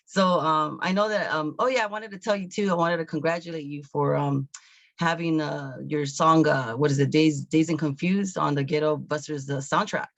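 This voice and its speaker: A man hosting a casual interview, hesitant and searching for words, with agreeable interjections and a creaky voice. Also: a little high, steady tone, moderate pace, fairly bright tone, dry recording. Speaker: talk show host interviewer.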